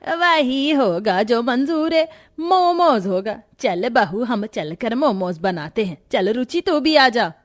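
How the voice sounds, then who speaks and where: A woman voicing a bossy, scolding middle-aged mother-in-law in a cartoon, sharp-tongued and commanding. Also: on the high side, very animated, takes it slowly, few pauses. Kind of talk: cartoon voice acting.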